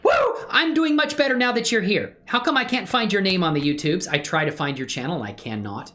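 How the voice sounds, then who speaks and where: A man's voice: sits high, very animated, fairly quick, bright, forceful tone. A man hosting a casual livestream, chatting effusively with viewers and rambling through his 3D animation work in playful slang. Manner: effusive when greeting viewers, playful slang, muttering and rambling while working. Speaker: livestream host and hobby 3D animator. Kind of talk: casual livestream chat and screen-share tutorial.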